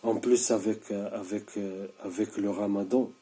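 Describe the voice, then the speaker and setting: A man's voice: on the low side, fairly even intonation, a little slow, warm tone. A sleep-deprived man in his thirties talking straight to camera, insistent and repetitive, stumbling and restarting often. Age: 30s. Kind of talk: casual video monologue.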